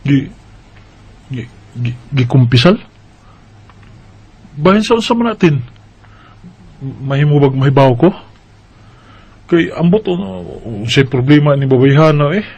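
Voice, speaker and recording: Husky, high-voiced heartbroken young man played by an actor. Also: lively intonation, a little slow, pauses often, darkish tone, heavily acted. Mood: anxious, puzzled. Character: heartbroken overseas worker, a young man searching for his girlfriend. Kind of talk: radio drama acting and narration.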